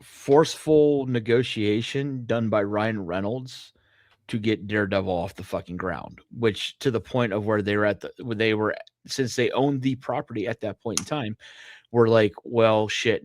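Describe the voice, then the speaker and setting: Man in dry, halting casual chat. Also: lowish voice, dry recording. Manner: halting, repeats phrases, profane. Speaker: podcast co-host. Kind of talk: casual speculative fan chat.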